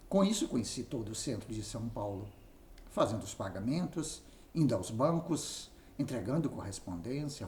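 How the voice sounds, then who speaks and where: A man with a Brazilian accent narrating a written memoir aloud in an expressive reading style. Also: fairly low pitch. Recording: roomy echo, faint background noise.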